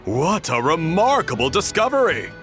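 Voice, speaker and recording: A man playing a kindly, thoughtful and encouraging tribesman in a video game, measured yet expressive. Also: fairly high pitch, faint music behind. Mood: thoughtful, supportive.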